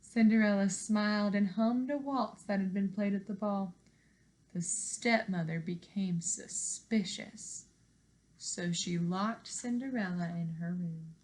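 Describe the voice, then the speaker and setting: A woman in her thirties, an elementary teacher, speaking to young children in an animated, encouraging read-aloud style at home. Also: mid-range voice, unhurried, low-quality recording. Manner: animated, encouraging, simple questions addressed to children. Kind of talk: expressive children's read-aloud and lesson talk to young pupils. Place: living room at home.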